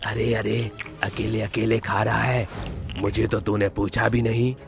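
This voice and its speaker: A man doing a gravelly grumbling ghost. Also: fairly low pitch, level tone, a little slow, soft background music. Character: gravelly male ghost friend.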